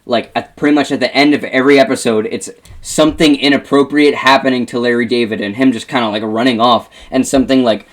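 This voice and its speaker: A man's voice: mid-range voice, fairly even intonation, fast talker. Young American man, casual podcast co-host. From Pennsylvania, United States. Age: young adult. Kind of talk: casual chat among friends.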